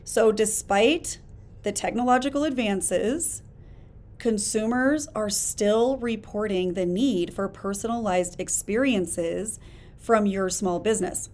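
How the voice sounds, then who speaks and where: A woman hosting a solo podcast, talking in an energetic, emphatic, conversational way with a hoarse voice while she gets over a chest illness. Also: medium pitch, takes it slowly. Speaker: podcast host. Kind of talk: casual solo podcast talk.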